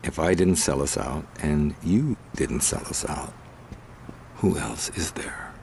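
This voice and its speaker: A man playing a sly, sneering crime boss in a video game, speaking with slow, mocking menace. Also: rumbling low, creaky, darkish tone, slight background noise, heavily acted. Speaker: gang's scheming partner. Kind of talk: video game cutscene acting.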